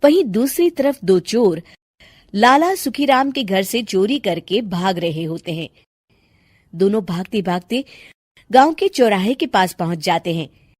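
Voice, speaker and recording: A woman's voice: medium pitch, animated, measured pace, a little mellow, no room sound, clean studio sound. A woman giving scripted narration for a cartoon story as the storyteller.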